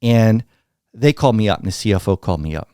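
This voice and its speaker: Middle-aged American man, blunt storyteller, lively delivery, dry close sound. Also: on the low side, fast talker, clear, resonant tone, no room sound. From United States. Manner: blunt, animated, acts out quoted dialogue. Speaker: guest. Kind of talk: candid storytelling interview.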